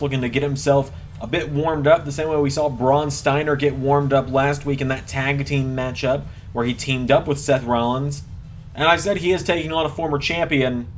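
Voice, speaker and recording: A man commentating play-by-play on a wrestling video game as channel host, with announcer-like, drawn-out emphasis. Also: mid-range voice, slight background noise. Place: voice-over recorded at a computer over gameplay.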